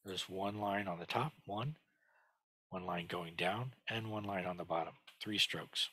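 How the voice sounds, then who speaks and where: Low, husky-voiced older man teaching. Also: sharp tone, no echo. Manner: explanatory, halting, many fillers and restarts. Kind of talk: screen-share lesson on Chinese characters. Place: home study with bookshelves. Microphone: headset.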